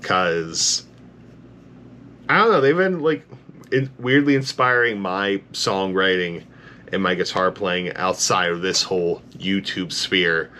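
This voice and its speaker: A man with a low bass voice giving an enthusiastic, rambling reaction to a song, full of "like" fillers, tired late at night. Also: slow pace, pushed and bright, slight background noise. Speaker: music reaction YouTuber. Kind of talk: casual reaction commentary.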